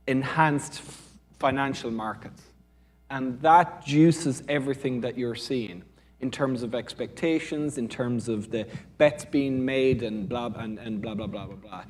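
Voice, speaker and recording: A man's voice: mid-range voice, slow, a little mellow. A man with an Irish accent answering as a panel speaker from a business school, discursive and often rephrasing mid-sentence.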